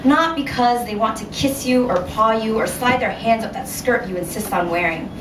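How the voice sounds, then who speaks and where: Female author at a live literary reading, slightly high voice, measured expressive delivery; echoey, picked up from afar. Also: far from the mic. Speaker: author reading own short story. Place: indoor venue at a reading series. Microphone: recorded from a distance in the room. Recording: roomy, noisy live recording from afar.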